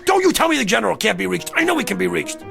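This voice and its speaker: Male, high gravelly voice, agitated and haunted, insistent drama delivery over music. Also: sing-song, very fast, full character voice. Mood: frantic. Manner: urgent, pleading. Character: agitated, insistent man haunted by a recurring dream.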